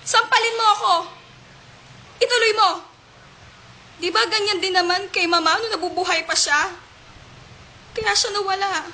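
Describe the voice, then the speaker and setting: A woman's voice: very high voice, moderate pace, long pauses, a little hiss or hum, full character voice. A young Filipino woman playing a devoted, defiant rich man's daughter in an amateur radio drama. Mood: tearful defiance. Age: young adult. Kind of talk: melodramatic radio drama acting. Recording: loud music under the voice.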